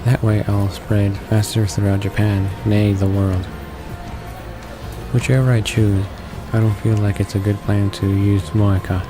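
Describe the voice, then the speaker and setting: A man's voice: on the low side, little pitch movement, measured pace, music in the background. A man reading visual novel text aloud as a let's play host, stumbling over words as he goes.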